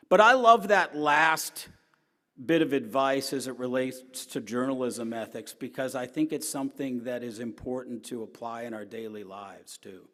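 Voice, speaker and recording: A middle-aged man with a big, strong voice speaks at a mid pitch. He tells his stories earnestly, pushing hard on key points and then dropping low. His voice rings out with a long echo through a large hall. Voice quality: loud, strong voice. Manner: earnest storytelling, swinging between emphatic and quiet. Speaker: newspaper columnist giving a talk. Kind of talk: personal lecture to an audience.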